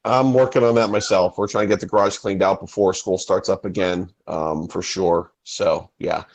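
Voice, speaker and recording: A man's voice: low-pitched, fairly even intonation, moderate pace. A middle-aged man chatting casually on a podcast. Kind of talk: casual chat.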